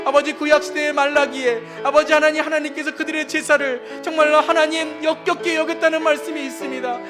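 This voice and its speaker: High-pitched man praying fervently over music. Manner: pleading, repetitive invocations, emphatic. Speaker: pastor leading congregational prayer.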